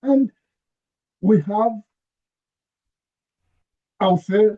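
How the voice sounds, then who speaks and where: A man's voice: sits high, very slow. A man with a Nigerian accent, a guest speaking earnestly and emphatically on a video call, often repeating his phrases. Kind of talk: conversational interview. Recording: dull lo-fi call audio.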